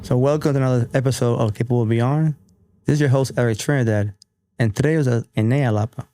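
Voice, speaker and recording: A man hosting a podcast, chatting casually and relaxed, with the odd swear word. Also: mid-range voice, fast talker, slight background noise. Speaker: podcast host. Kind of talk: casual interview chat.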